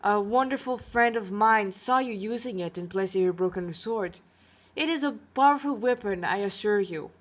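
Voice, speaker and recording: A woman's voice: mid-range voice, normal speed, natural voice. A woman voicing a serene male god with a formal, assuring manner in a storybook reading. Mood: calm assurance.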